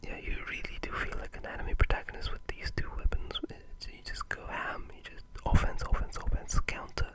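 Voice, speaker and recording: A gaming creator speaking in a breathy, unvoiced whisper, giving rambling but enthusiastic ASMR-style game commentary. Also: fairly quick, few pauses.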